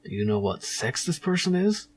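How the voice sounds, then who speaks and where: A man's voice: on the high side, big pitch swings, normal speed, pitched above the performer's own voice. A man voicing a matey, eager insurance salesman in an adventure game, tagging his lines with a questioning turn. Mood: officious, helpful. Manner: matey, tags sentences with questioning "yeah". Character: chatty, eager male insurance salesman named Billy Anker.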